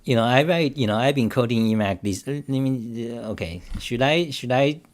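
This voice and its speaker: A man's voice: mid-range voice, moderate pace. Rambling man hosting a coding livestream. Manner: rambling, repetitive restarts, defensive and emphatic; reads chat aloud, addresses viewers directly. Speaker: live-stream talk show host. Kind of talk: unscripted coding livestream rant.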